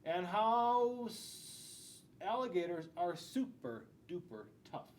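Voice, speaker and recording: A middle-aged man reads a children's story in a lively, swooping voice that sits a little high. He leans hard on key words and drops in asides for his young listeners. There is a clear low rumble behind him in the classroom. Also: slow. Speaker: school resource police officer. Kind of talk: children's picture book read-aloud. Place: indoors in a school classroom.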